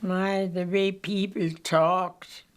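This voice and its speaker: A woman's voice: medium pitch, little pitch movement, takes it slowly, smooth. An older woman from Germany, telling her life story plainly and matter-of-factly. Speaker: interviewee. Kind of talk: personal life story told in an interview.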